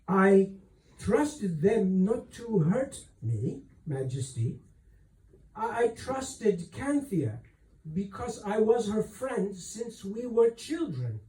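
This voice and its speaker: An earnest young servant woman, acted by a man reading a novel, speaks haltingly and with deference. The voice is raised and very soft in tone, with slow delivery, heard in a roomy space. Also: high voice, big pitch swings, voice pushed up, exaggerated voice. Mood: tearful, halting. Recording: roomy echo, distant microphone.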